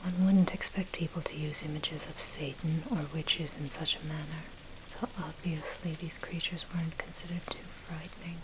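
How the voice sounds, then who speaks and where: A woman reading dark history as ASMR in a breathy, unvoiced whisper, with a measured, scholarly delivery. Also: low-pitched. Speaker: narrator. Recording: steady loud rain noise over voice. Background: rain and thunder.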